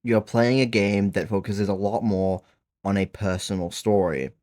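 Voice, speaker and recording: A man's voice: on the low side, fairly even intonation, moderate pace, a little mellow. A man narrating a scripted video essay in an earnest, enthusiastic manner, with casual asides.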